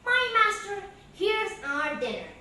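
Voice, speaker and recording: A clever little tomcat chirps his lines high and eager, as a woman puts him on. His politeness hides a crafty streak. The voice sounds distant in an echoey room. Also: big pitch swings, unhurried, bright, forceful tone, slight background noise, full character voice. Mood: cheerful. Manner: high, pleading. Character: clever small male cat, polite and eager.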